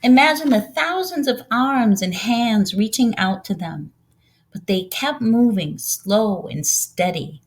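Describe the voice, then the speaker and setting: A woman reads a children's storybook aloud as a volunteer narrator, with expressive delivery. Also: fairly high pitch, slow, low-quality recording.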